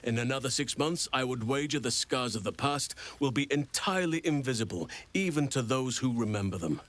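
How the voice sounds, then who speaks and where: An actor playing a gentle, wise old druid man in a fantasy video game, speaking in formal, measured, earnest sentences. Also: mid-range voice, expressive, natural voice. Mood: earnest, proud. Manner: formal, measured, eloquent speech.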